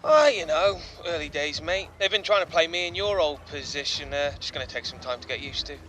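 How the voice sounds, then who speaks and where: A man's voice: on the high side, expressive, fairly quick, sharp tone, poor audio. A young English footballer in a game cutscene, teasing a mate in casual banter. His accent is English, with faint vehicle noise in the background. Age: young adult.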